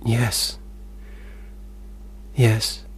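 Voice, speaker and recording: An actor playing an earnest, prim, troubled young poet in a radio play, husky and formal in his phrasing. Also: mid-range voice, completely flat delivery, extremely slow, exaggerated voice. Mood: tender.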